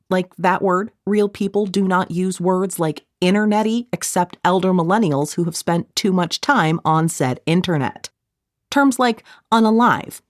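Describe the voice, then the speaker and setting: A middle-aged woman reading her own audiobook in a conversational, snarky way, with playful deadpan asides. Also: on the low side, no room sound, clean studio sound. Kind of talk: scripted audiobook narration, conversational.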